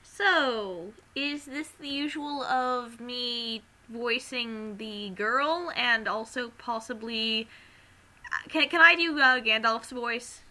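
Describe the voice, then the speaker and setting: A woman's voice: fairly high pitch, slow pace, pushed and bright. A woman chatting and joking casually as a co-reader in a group reading. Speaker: co-reader voicing parts.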